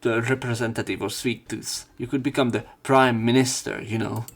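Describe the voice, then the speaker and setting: A man's voice: mid-range voice, fairly even intonation, moderate pace, slightly rough. A man reading visual novel text aloud as a let's play host, casual and prone to interrupting himself.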